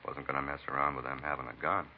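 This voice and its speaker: A man's voice: extremely low pitch, level tone, fast. An actor playing a beaten, talkative American truck driver in a vintage radio drama, rambling and folksy in plain working-man talk. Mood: earnest recounting. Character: beaten truck driver, talkative, folksy, eccentric self-styled bump-reader. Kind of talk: 1940s-50s radio acting. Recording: muffled vintage radio recording, faint hiss.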